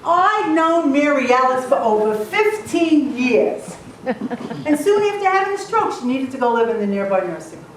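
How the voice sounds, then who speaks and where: A woman tells stories to a live audience in a playful, animated way, sometimes singing a line or two. Her voice sits high and swings widely in pitch. The sound is distant and heavily echoey, as in a large hall, with a faint hiss behind it. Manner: animated and playful, sings snatches of song. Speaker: motivational speaker. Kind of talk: storytelling talk to a live audience.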